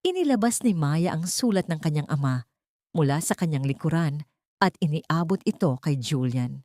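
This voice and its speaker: A woman narrates a scripted drama in a low voice with an animated melody. The sound is dry and close, suited to an audio story. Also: dry recording.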